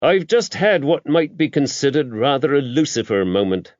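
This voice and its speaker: A fastidious male investigator voiced by an audiobook narrator, wry and incredulous. He talks with exclamatory emphasis, as if baffled by his surroundings. The recording is dry and a little dull. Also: mid-range voice, very animated, no room sound, voice pushed up, natural voice. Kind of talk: audiobook character dialogue.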